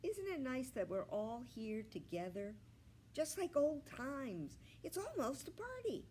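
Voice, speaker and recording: A woman voicing a kindly male pig from a storybook, gentle and earnest, coaxing like a peacemaker. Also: high-pitched, sing-song, a little slow, a little hiss or hum, voice pushed up. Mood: pleading.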